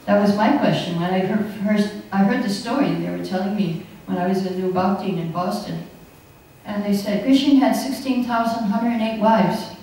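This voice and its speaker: A woman's voice: mid-range voice, steady tone, moderate pace, dark tone, a little hiss or hum. An older woman giving a chatty, anecdotal scripture class at a podium mic, often laughing as she teaches. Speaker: class speaker. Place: hall, indoors. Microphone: podium mic on stand. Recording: echoey hall, recorded from a distance.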